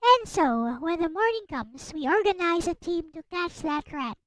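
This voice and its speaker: A woman's voice: lively intonation, normal speed, dry recording. A woman with a girlish voice reading a visual novel aloud as a streamer, with playful casual commentary. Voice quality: high, girlish voice. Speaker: streamer, host. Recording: music behind the voice.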